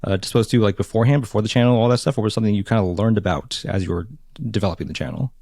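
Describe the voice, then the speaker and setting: Low-voiced man hosting a podcast. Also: mellow, no room sound, studio quality. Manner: halting, lots of fillers and restarts. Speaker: podcast host. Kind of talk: casual interview.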